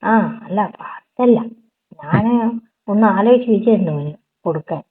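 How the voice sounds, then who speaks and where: A woman with a northern Kerala accent speaks in an intimate, conversational way. Her mid-pitched voice is husky and reaches the listener through a narrow, tinny telephone connection. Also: lively intonation, moderate pace. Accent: Kerala Malayalam, northern. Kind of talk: casual intimate phone chat. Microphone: telephone call. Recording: tinny phone call.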